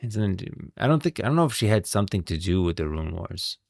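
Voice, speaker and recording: A man's voice: deep voice, big pitch swings, fairly quick, creaky, no room sound, clean studio sound. A man streaming casual game lore commentary, rambling and thinking aloud as he goes, often repeating himself. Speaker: streamer, host.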